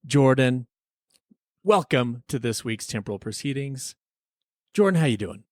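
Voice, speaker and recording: A man with a mid-pitched voice hosts an improvised comedy mock hearing. He is teasing and deadpan, and the recording is dry with very little room sound. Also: a little slow, dry recording. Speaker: podcast host.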